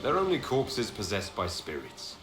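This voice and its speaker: An actor playing a composed, pragmatic fantasy hero and leader in a video game, with a matter-of-fact delivery. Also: male voice, fairly low pitch, wildly swooping pitch, moderate pace. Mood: curious, confident. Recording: echoey, clearly noisy game audio.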